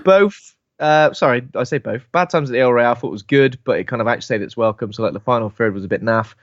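A man with a British accent, co-hosting a podcast in relaxed chat, joking, laughing often and repeating words as he thinks. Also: mid-range voice, fast, no echo, muffled recording. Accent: British English. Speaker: podcast co-host. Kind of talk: casual chat.

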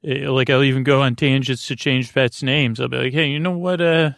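Creaky guy doing a sleep podcast, kinda monotone, rambles and loses his thread, dry close mic. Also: mid-range voice, no room sound. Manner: meandering, halting, repetitive, full of tangents. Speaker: podcast host.

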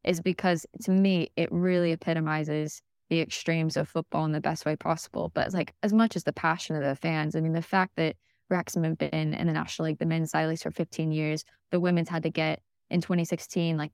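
A woman's voice: deep voice, little pitch movement, a little fast, creaky, a little mellow, clean tone, no echo, studio quality. A young American woman, a podcast guest, talking enthusiastically in a casual interview, with lots of fillers and restarts. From United States.

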